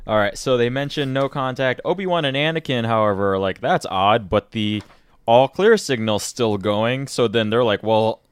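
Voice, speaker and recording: A man's voice: mid-range voice, moderate pace, clear, resonant tone, no echo. A man from Michigan with a slight northern Midwestern accent, co-hosting a review podcast in an easygoing way, often repeating words. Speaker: podcast co-host. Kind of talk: casual review chat.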